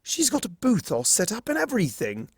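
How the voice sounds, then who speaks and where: A man's voice: sits high, wildly swooping pitch, a little fast, creaky, slightly rough, no room sound, clean studio sound, voice pushed up, heavily acted. A man voicing a mischievous, smug male chaos creature from a cartoon fan story, theatrical and mocking, drawing out his words. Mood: smug teasing.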